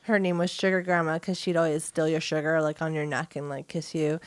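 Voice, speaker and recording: A woman's voice: deep voice, level tone, fairly quick, smooth, no room sound. A middle-aged American woman co-hosting a podcast, chatting in an informal, rambling way. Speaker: podcast co-host. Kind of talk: casual chat.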